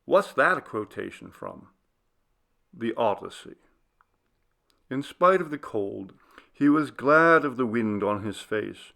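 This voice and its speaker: A man narrating a literary ghost story in a measured, deliberate storytelling manner. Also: fairly low pitch, vocal fry, fairly bright tone. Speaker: audiobook reader.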